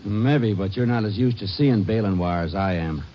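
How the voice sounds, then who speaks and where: A man's voice: lowish voice, moderate pace, natural voice. An actor playing a blunt, practical rural feed buyer in a 1950s radio drama, clipped and exacting in his speech. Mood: alert. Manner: clipped, plain-spoken, exacting. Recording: muffled, noisy old radio recording.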